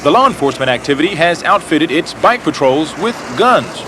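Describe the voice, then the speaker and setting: A man's voice: a little high, big pitch swings, a little fast, noisy background. A man narrating a scripted news report as a reporter.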